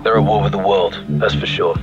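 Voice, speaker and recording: Game operative, male actor: lowish, rapid, dry, economical lines, music behind. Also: highs cut off, close to an everyday voice. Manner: dry, laconic. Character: laconic, seasoned male special forces soldier. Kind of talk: video game cutscene acting.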